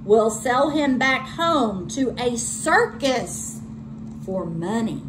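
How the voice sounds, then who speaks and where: A woman's voice: a little high, sing-song, takes it slowly, background noise. A woman voicing amazed, scheming male hunters in a children's storybook, exclaiming with wide-eyed wonder. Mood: amazed, eager. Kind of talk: children's storybook read-aloud.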